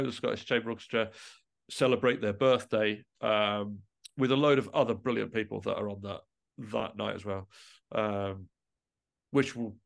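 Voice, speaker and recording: A man's voice: on the low side, vocal fry. A man speaking as a musician guest in a casual podcast interview, with an informal, self-deprecating and enthusiastic way of talking.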